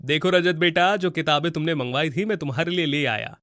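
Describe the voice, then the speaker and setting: A man's voice: fairly high pitch, a little fast, a bit bright, no room sound, studio quality. A man voicing a devoted, generous middle-aged farmer father in a cartoon story, speaking reassuringly. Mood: caring. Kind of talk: Hindi cartoon story acting.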